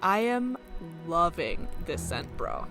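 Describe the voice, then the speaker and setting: An actress playing a young college senior at a job interview in a radio play, emphatic and colloquial. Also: female voice, fairly low pitch, very animated, slow pace, a bit bright, soft background music, full character voice. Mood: cocky. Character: female college senior job applicant, turning brash bro.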